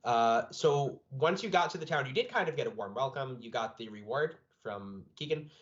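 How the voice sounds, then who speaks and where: A man's voice: mid-range voice, lively intonation, normal speed. A man speaking as a game master, chatting casually and asking a player about their tabletop campaign. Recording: dull, lo-fi voice audio.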